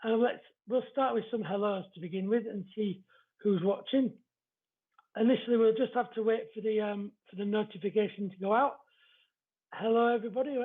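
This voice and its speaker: A male livestream host with a distinctly high-pitched voice and an East Yorkshire accent. He talks in a welcoming, chatty, polite way, as if greeting viewers one by one. The audio is thin and lo-fi, with a narrow phone-like band. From East Yorkshire, England. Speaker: spiritual medium hosting a live show.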